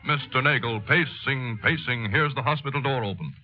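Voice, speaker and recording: Actor as a portentous 1930s radio news announcer, muffled. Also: male voice, mid-range voice, natural voice. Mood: grave, dramatic. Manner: portentous, declamatory, drawn-out emphasis. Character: solemn, booming male newsreel announcer, grave and dramatic. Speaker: narrator. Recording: muffled old 1930s radio recording, music.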